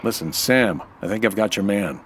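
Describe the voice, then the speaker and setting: An actor playing a hard-boiled, wry American nightclub owner turned sleuth, in the terse style of a 1940s radio detective drama. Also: male voice, lowish voice, lively intonation, moderate pace, slight background noise, close to an everyday voice. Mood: determined, cool. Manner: terse, noir-style storytelling.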